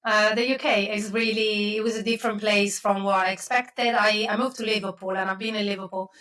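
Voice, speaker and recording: A woman's voice: mid-range voice, little pitch movement, fairly quick, a bit bright, distant mic, rough recording. A woman from Milan in her thirties with an Italian accent, chatting as a podcast guest about her work, often restarting her sentences. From Italy (Milan). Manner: chatty, with frequent restarts and fillers. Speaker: podcast guest, systems and automation expert. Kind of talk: casual interview talk. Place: home office, video call.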